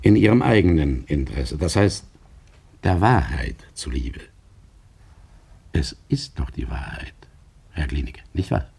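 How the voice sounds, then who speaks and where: An actor playing an insistent, condescending interrogator in a German radio play, with a deliberate, insinuating manner. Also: male voice, rumbling low, very animated, vocal fry, darkish tone, husky, exaggerated voice. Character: insistent, condescending male interrogator, probing and soothing by turns.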